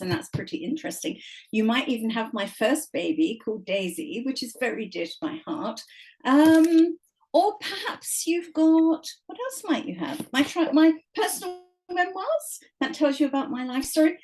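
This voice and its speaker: A woman's voice: high-pitched, a little mellow, poor audio. An older woman with an English accent, a guest author chatting cheerfully and modestly in a podcast interview. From England. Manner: cheerful, chatty, self-deprecating.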